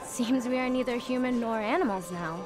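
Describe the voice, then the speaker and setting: Actress as a proud, high-voiced stern woman. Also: normal speed, music in the background, a crowd in the background, natural voice. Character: proud, fearful human woman, stern and distrustful. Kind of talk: animated fairy tale dialogue.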